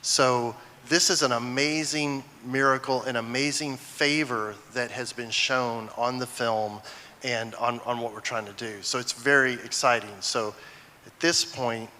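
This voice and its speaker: A man's voice: mid-range voice, fairly even intonation, a little slow, bright, forceful tone, slight background noise. A man giving an informal stage talk to an audience, presenting a film. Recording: echoey large hall.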